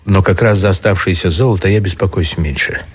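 A man's voice: deep voice, a little fast, slightly rough. A man playing a deferential, diplomatic prime minister in a radio drama, with a polite, careful manner. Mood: cautious, persuasive. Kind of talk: Russian radio drama acting.

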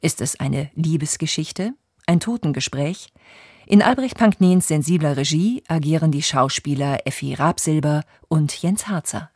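A woman presenting a radio drama with a scripted, measured, composed introduction. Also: low-pitched, darkish tone, no room sound, studio quality.

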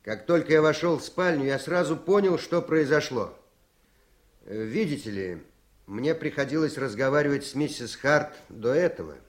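A man's voice: fairly high pitch, lively intonation, moderate pace, close to an everyday voice. An actor playing a man giving hesitant witness testimony in a radio play. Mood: explaining. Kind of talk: Soviet radio play acting.

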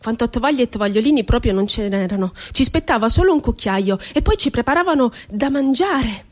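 A woman's voice: a little high, lively intonation, a little fast, close to an everyday voice. An actress playing an ironic, wounded woman poet confined in an asylum, telling her story expressively in a radio theatre monologue. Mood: wry, mock-innocent.

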